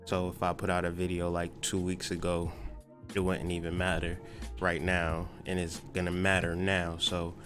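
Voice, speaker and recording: A man's voice: low-pitched, completely flat delivery, moderate pace, music in the background. A man hosting a podcast livestream in a laid-back, slangy casual chat, swearing now and then.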